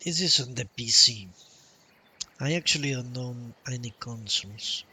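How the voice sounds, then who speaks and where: A man's voice: medium pitch, moderate pace, bright, forceful tone. A man giving casual live commentary as he streams a game, offhand and half talking to himself. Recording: clear game noise behind voice. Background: game ambience and sound effects.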